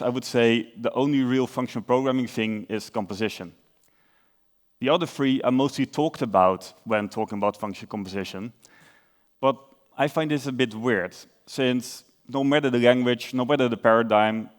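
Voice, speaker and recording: A young man from the Netherlands with a Dutch accent, giving a conference talk in a relaxed, explanatory way with filler uhs and self-corrections. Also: mid-range voice. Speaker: conference speaker.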